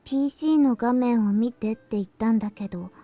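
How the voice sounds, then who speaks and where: A woman voicing a quiet, unguarded teenage schoolgirl in a visual novel, speaking in a soft, understated mutter. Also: fairly high pitch, fast, close to an everyday voice. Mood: quiet, matter-of-fact. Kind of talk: Japanese visual novel voice acting. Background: faint game music.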